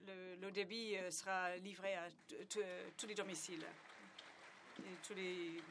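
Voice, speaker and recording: A woman's voice: on the low side, little pitch movement, unhurried, noisy background. A woman giving live simultaneous interpretation at a conference, with many hesitations, fillers and restarts.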